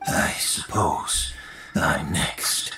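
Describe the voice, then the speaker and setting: Weary, slow-talking male mentor. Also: rumbling low, bright, forceful tone, full character voice. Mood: resigned. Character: weary, resigned male master perfumer and mentor. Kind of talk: acted video game dialogue.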